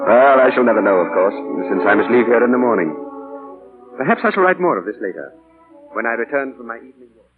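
A man's voice: medium pitch, expressive, fairly quick, pauses often, music in the background, close to an everyday voice. A middle-aged man acting in 1940s radio style as a witty, teasing English novelist nearing middle age, playful and theatrical. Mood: wistful. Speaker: radio actor. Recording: tinny, band-limited 1940s radio broadcast.

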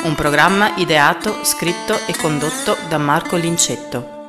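A woman's voice: deep voice, moderate pace. A woman reading a scripted programme intro as its announcer. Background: guitar music.

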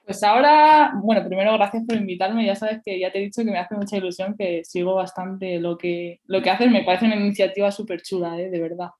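A woman in her twenties with a voice of middle pitch. She speaks casually and chattily as an interview guest, padding her sentences with everyday fillers. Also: fairly even intonation, brisk, hardly any pauses, darkish tone. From Madrid, Spain. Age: 20s. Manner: chatty, many fillers like "y tal", "en plan". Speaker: guest athlete.

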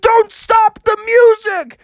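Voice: very high voice, flat delivery, slow, no echo, pitched above the performer's own voice. A prankster storm cloud that speaks in a raised, gleeful voice and boasts about its tricks, as a storyteller puts it on. It is a mischievous bedtime-story sky.

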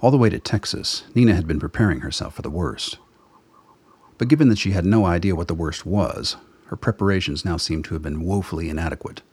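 A man's voice: low voice, fairly quick, darkish tone, husky, dry recording. A man reading scripted narration for a radio drama.

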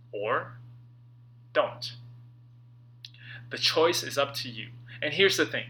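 Bright, sharp-voiced man reading earnestly. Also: on the high side, a little hiss or hum, rough recording. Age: late 30s. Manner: conversational, earnest, dramatic pauses. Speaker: author reading own book. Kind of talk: personal audiobook narration, motivational.

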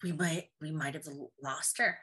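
Bright-voiced woman podcast co-host. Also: deep voice, steady tone, moderate pace, husky. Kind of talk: casual podcast chat. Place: online video call.

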